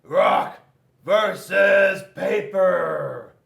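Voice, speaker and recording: A man's voice: high-pitched, animated, extremely slow, creaky, fairly bright tone, roomy sound, pitched above the performer's own voice, heavily acted. A man voicing a boastful storybook rock warrior who declaims pompously and is eager to fight. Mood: combative.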